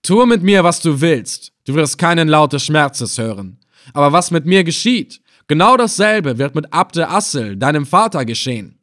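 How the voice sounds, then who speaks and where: A man's voice: a little high, animated, normal speed, voice pushed up, natural voice. A man voicing a proud, fearless captive adventurer in an audiobook, speaking emphatically with bold, taunting defiance. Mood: defiant, warning. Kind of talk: dramatized audiobook adventure reading.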